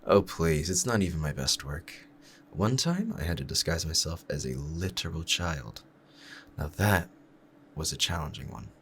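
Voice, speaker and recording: A man acts out a smitten, dangerous male assassin in a romantic audio roleplay. The voice is low and creaky, measured and formal, confiding in the listener with calm pride that is both menacing and tender. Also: slight background noise, heavily acted. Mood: smug, amused.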